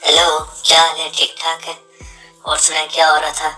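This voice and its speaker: A man's voice: completely flat delivery, normal speed, sharp tone, soft background music, rough recording. A man playing a casual caller making phone small talk, his voice raised by a voice changer. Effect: pitch-raised voice changer.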